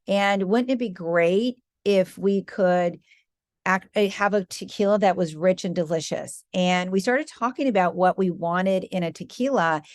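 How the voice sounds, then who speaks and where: A woman's voice: mid-range voice, no room sound, clean studio sound. A woman in her fifties from the US Midwest, a podcast guest telling her story with easy laughs. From Michigan, US Midwest. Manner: warm storytelling with light laughs. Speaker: guest, company founder. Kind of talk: podcast interview.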